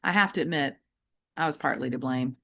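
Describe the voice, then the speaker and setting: A woman reading a children's novel aloud like an audiobook, with deliberate, emphatic and varied phrasing. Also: a bass voice, fairly bright tone. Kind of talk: expressive audiobook-style storytelling for children.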